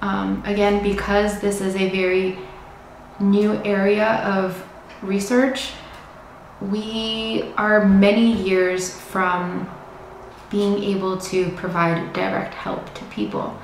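A young American woman talking casually to camera, explaining things in a chatty, informative way with some fillers, in a bright room indoors. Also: mid-range voice, little pitch movement, slow pace. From United States. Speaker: vlogger, psychedelic research student. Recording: very echoey room, faint hiss.

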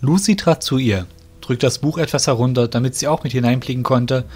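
A man's voice: medium pitch, a little fast, darkish tone. A man reading scripted fantasy narration as the narrator of an audio drama. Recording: music behind the voice.

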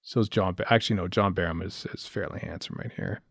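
Hesitant low-voiced man, close dry sound. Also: fast, fairly bright tone, breathy edge, dry recording. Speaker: podcast co-host. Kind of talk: casual TV commentary chat.

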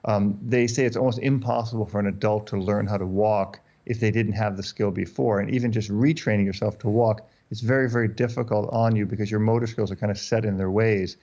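Brief: german-native male dog trainer, 50s, lowish voice, lo-fi call mic, restarts a lot. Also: steady tone, a little fast, few pauses, warm tone. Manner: explanatory, repeats words and restarts often. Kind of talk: interview guest on a video call. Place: dark room indoors. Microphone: headphones and desk mic on a video call.

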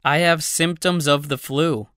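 A narrator reading numbered phrases for a language-learning audiobook, slowly and evenly for learners. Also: medium pitch, steady tone, noisy background. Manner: slow, evenly spaced delivery of numbered phrases.

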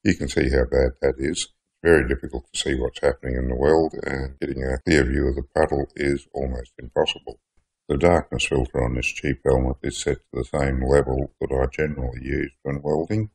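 Older Aussie hobbyist with a very deep, gravelly voice, casual and self-deprecating product review. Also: male voice, flat delivery, brisk, a little mellow, poor audio. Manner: chatty, plainspoken, self-deprecating. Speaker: hobby welder reviewing gear. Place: workshop shed.

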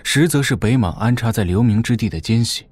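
A man's voice: medium pitch, lively intonation, fairly quick, a little mellow, husky, dry recording, soft background music. An actor playing a shrewd, obsequious warlord who reports deferentially and colloquially to his overlord in an audio drama. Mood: shrewd, informative.

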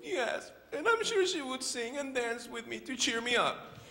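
A man voicing a small, lonely baby bird in a children's storybook, pleading in drawn-out exclamations. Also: extremely high pitch, very animated, pushed and bright, slight background noise, voice pushed up, heavily acted. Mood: wistful, eager. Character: little lonely baby bird searching for a mother, plaintive. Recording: echoey hall.

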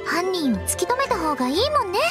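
A woman voicing a sweet, earnest, anxious teenage church girl in an anime game, with a soft girlish voice and polite, hesitant delivery. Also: very high voice, sing-song, fast talker, over loud music. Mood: resolved. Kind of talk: Japanese anime game voice acting.

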